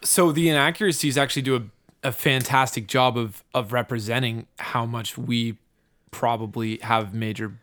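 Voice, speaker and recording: A man's voice: medium pitch, steady tone, normal speed, a bit bright. A man chatting casually, colloquial and reflective as he responds to others. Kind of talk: casual chat.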